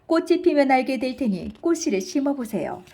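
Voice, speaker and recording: A woman voicing a wise, composed and firm queen in a children's storybook, speaking in a measured, dignified, formal manner. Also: high-pitched, sing-song, warm tone, exaggerated voice. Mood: resolute, thoughtful.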